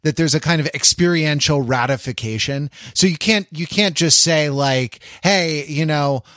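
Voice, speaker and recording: Halting, thoughtful man, close dry sound. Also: on the high side, a bit bright, no room sound. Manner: halting, searching for precise words. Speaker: podcast co-host. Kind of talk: casual intellectual discussion.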